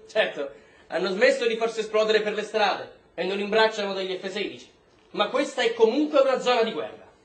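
Uomo che recita ufficiale militare brutale, voce alta. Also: male voice, high voice, expressive, fairly quick. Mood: menacing, scornful. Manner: barking, contemptuous, commanding. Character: brutal foul-mouthed male military drill officer briefing soldiers. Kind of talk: stage drama acting. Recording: roomy, echoing stage space.

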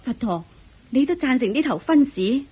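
A woman's voice: high-pitched, expressive, normal speed, warm tone, breathy edge, full character voice. A woman with a Hong Kong Cantonese accent playing a firm, disapproving well-off mother in a radio drama.

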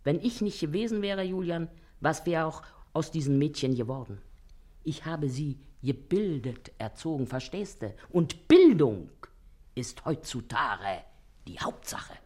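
Bossy naggy german mum in a radio play, very animated up and down. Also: female voice, low-pitched, a little slow. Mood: boastful. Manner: nagging, blustery, colloquial. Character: bossy, scolding, shrewd working-class mother and wife.

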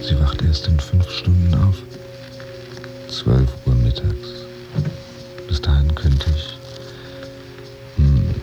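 Radio drama lead: jobless brooder, bottom-register voice, dry measured inner monologue, prominent music underneath. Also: male voice, rumbling low, muffled recording, heavily acted. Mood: brooding. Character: brooding man who lost his job, pretending to work.

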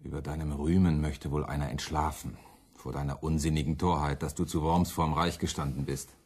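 A deep-voiced, husky radical preacher in a radio play. He is fiery and defiant, championing the hungry with accusatory, biting rhetoric. Scorn runs through his speech. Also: flat delivery, a little fast, darkish tone, heavily acted. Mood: scornful, accusing. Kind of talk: German radio play acting.

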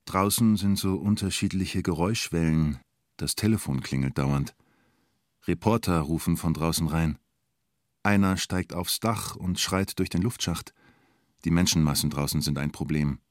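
An actor narrating a radio play in the present tense as an observant storyteller, in terse, understated fragments. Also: male voice, sits low, normal speed, no echo, clean studio sound, natural voice. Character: male storyteller following an onlooker at a siege, observant.

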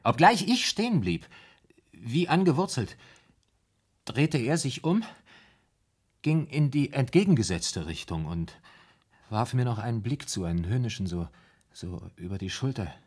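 An actor in a 1970s German radio drama playing a tormented, anxious man who haltingly confesses what haunts him, in a husky voice. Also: medium pitch, very animated. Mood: horrified. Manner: halting, haunted confessional storytelling with broken phrases.

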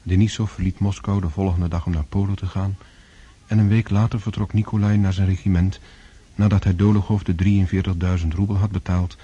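A man narrating a radio drama as a sober literary storyteller, measured and grave. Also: sits low, little pitch movement, warm tone, husky, muffled recording.

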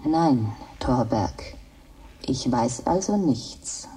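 A woman playing a calm, all-knowing computer in a radio drama, speaking in a measured, matter-of-fact way and repeating herself to correct phrases. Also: extremely low pitch, a little hiss or hum, full character voice. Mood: calm, detached. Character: calm, all-knowing female station computer named Edith. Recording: echoing, reverberant radio play voice.

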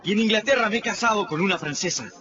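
An actor playing an earnest, dignified young emigrant aristocrat, declaiming in a radio drama. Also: male voice, high-pitched, fast, sharp tone. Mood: earnest defiance. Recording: dull, distant radio recording, faint noise.

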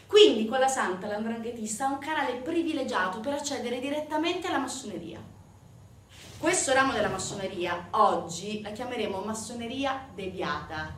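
A woman delivering a theatrical narrative monologue, speaking emphatically and addressing her listeners directly. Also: mid-range voice. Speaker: stage actress. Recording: roomy, distant microphone, background noise.

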